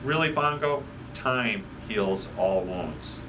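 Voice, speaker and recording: Man playing a wise old tree, unhurried. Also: on the low side, expressive, distant mic, noisy background. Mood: calm, philosophical. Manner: philosophical, gently wry. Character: wise old oak tree, patient, dry-humored.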